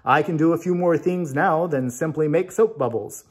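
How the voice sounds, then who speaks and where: A man's voice: a little high, moderate pace, husky. A man voicing a determined, weary yet bold traveling wizard in a storybook reading. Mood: pleased, curious.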